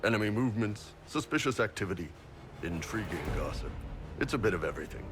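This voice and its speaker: Over prominent music, a man plays a huge horned mercenary captain in a fantasy game. His voice is very low, and he talks bluntly and informally, with bluff, candid good cheer. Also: lively intonation, quick pace, close to an everyday voice. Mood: frank, serious. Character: big horned male Qunari mercenary boss, bluff and candid. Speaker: mercenary captain and self-declared spy. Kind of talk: video game character dialogue.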